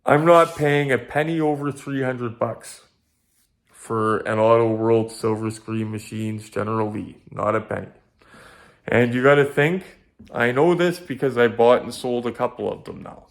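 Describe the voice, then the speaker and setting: A man's voice: mid-range voice, measured pace, gravelly. Enthusiastic Canadian man reviewing collectibles. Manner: enthusiastic, repeats phrases for emphasis. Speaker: collectibles video host. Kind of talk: casual product review talking to viewers.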